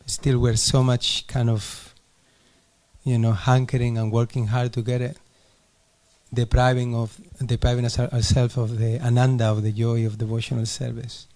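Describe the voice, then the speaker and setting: A man reflects aloud in a group discussion. His tone is dark and very even, at a middling pitch. He is tentative, stopping and restarting while he shapes the idea. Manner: tentative, halting.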